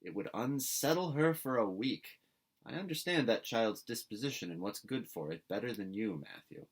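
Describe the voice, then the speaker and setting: A man voicing a stern older woman guardian in a storybook, brisk, tart and scolding. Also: fairly low pitch, lively intonation, slightly rough, low-quality recording. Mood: irritated.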